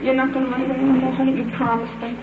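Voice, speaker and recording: A woman's voice: high voice, flat delivery, racing. An American woman, a mother, speaking in a police station on a 1950s field recording. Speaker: mother of a young boy. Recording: echoey, noisy, phone-like 1950s field recording.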